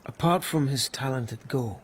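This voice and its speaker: A man acts a tired palace policeman in a radio mystery drama. His medium-pitched voice is earnest, deferential and halting, yet quietly dogged. Light ambience is audible behind him. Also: slight background noise, natural voice. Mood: deferential, uneasy. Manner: hesitant, earnest, respectful.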